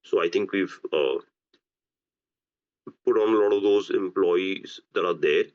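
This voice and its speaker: Low-pitched man, halting, on dull call audio. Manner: halting, often restarting phrases. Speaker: company executive answering on an earnings call. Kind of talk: unscripted business Q&A.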